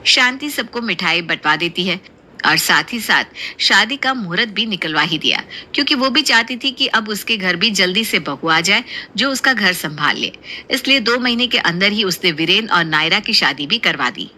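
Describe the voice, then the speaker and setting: A woman's voice: medium pitch, expressive, normal speed, bright, forceful tone. A woman narrating a scripted family story.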